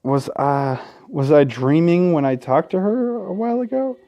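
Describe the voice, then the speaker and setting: A man doing gaming commentary, reading a visual novel aloud with casual, sweary asides and an expressive, dramatic delivery. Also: on the high side, normal speed, dull audio. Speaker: let's play host. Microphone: close gaming microphone.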